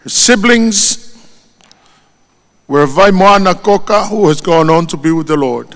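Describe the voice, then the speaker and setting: A man with a Tongan accent, whose first language is Tongan, delivering a formal funeral eulogy in a solemn, measured way and lifting his voice on each name. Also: sits high, lively intonation, fairly bright tone. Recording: long hall reverb.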